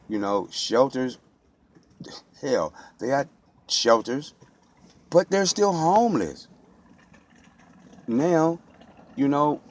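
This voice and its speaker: An American man speaking off the cuff in an impassioned monologue, blunt and streetwise, with slang and heavy swearing. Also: fairly low pitch. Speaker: local resident speaking out.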